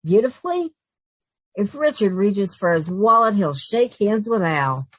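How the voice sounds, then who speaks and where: A woman's voice: mid-range voice, very animated, a little slow, a bit bright, no echo, poor audio. A woman playing a sensible, easily exasperated young working woman in an old-time radio comedy, speaking emphatically. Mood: exasperated, scolding. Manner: emphatic, long-suffering.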